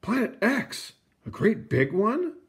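Man voicing a high, animated little icy planet. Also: slow pace, heavily acted. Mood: indignant. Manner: animated, protesting. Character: little icy planet, timid, playful and indignant.

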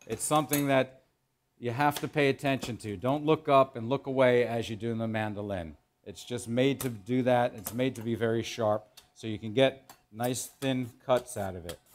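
A middle-aged man, a chef teaching in a kitchen, explaining as he works in an informal, halting way and repeating phrases for emphasis. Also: medium pitch, slight background noise. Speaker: chef giving a cooking demonstration. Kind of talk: instructional cooking demo, explaining while working. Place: indoor professional teaching kitchen.

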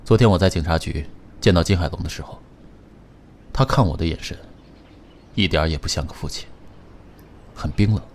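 A man playing a calm, protective young man who teases his partner gently. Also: low voice, brisk, long pauses, a little hiss or hum, natural voice. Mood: tender. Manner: calm, gently teasing, soft laughs. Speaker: lead character. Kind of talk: audio drama acting.

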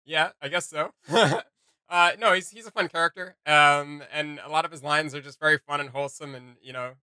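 A man's voice: fairly high pitch, steady tone, moderate pace, sharp tone, clean tone, dry recording, clean studio sound. A man, giving rambling, jokey commentary as host of a casual reaction video. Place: small home room with shelves, indoors.

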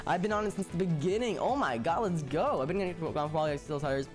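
A man's voice: a little high, expressive, brisk. A young man live-streaming a game and chatting with viewers casually, stuttering often and repeating words. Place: home room, indoors. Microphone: headset. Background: music playing.

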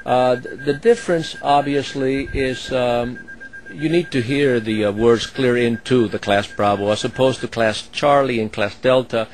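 A man who supervises air traffic controllers and flies, explaining the work in a halting way, with many fillers and restarts. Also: mid-range voice, rough recording. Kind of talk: explaining his work to an interviewer.

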